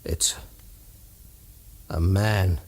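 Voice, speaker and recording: Low-voiced, commanding vintage radio doctor. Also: male voice, fairly even intonation, very slow, vocal fry, exaggerated voice. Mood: horrified awe. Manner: brusque, urgent, barking orders. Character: commanding, impatient male hospital doctor on emergency call. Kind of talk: old-time radio horror drama acting. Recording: noisy vintage 1930s-40s radio recording.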